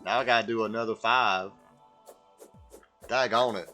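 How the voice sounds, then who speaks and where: A man's voice: fairly low pitch, fairly even intonation, normal speed, bright, forceful tone. Man putting on a folksy, simple Southern drawl. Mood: flustered. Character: slow, simple, earnest Southern man, film-character impression. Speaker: video game streamer. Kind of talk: livestream gaming chat held in a put-on voice. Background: music.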